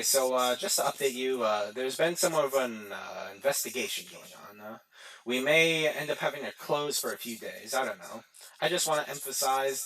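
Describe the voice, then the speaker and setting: A man playing a chatty, evasive pizzeria employee leaving halting, casual phone messages in a game. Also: mid-range voice, a bit bright, natural voice, rough recording. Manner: hesitant, rambling, nonchalant. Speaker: night-shift phone guide. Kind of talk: voicemail-style game monologue, casual and halting.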